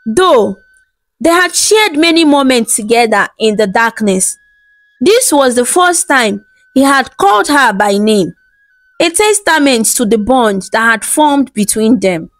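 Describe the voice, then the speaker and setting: A woman telling a scripted folktale as narrator, in a measured, dramatic storybook delivery. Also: fairly high pitch, very animated, no room sound, rough recording. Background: background music.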